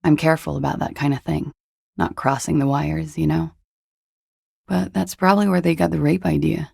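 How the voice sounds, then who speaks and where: An actress playing a cool, guarded young woman in a video game, with a husky, breathy, gravelly voice and a languid, dryly understated delivery. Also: sits low, steady tone, normal speed, darkish tone, no echo, heavily acted. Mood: guarded, uneasy. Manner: languid, dry, wryly understated. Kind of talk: video game character dialogue.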